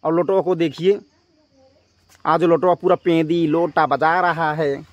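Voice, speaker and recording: A man's voice: a little high, fairly even intonation, unhurried, lo-fi sound. A man speaking as a vlogger in a confrontational rant to his viewers, scolding and emphatic, often repeating himself. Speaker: YouTube vlogger addressing viewers.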